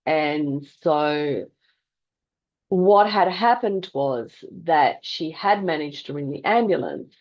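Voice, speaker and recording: A middle-aged woman tells a personal story in a reflective, deliberate way with dramatic pauses. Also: sits low, dull audio. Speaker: health vlogger telling a personal story.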